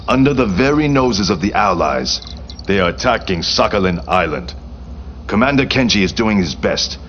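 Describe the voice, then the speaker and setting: Deep male voice, proud vengeful Japanese prince, formal and grandiose, game cutscene, muffled noisy audio. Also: fairly bright tone. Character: proud, vengeful Japanese imperial prince, commanding and honour-bound. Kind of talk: dramatic video game cutscene acting.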